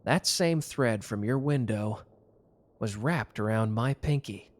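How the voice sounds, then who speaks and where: A man's voice: fairly low pitch, takes it slowly. A man playing a protective, devoted mafia lover with a hot temper, speaking earnestly in an intimate romantic audio drama. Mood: tender, reflective.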